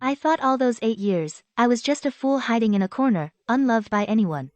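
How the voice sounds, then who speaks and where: A woman's voice: fairly high pitch, lively intonation, fairly quick, darkish tone, dry recording, lightly acted. A young woman playing a proud, wounded but resolute heiress in a dubbed melodrama. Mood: moved, wistful.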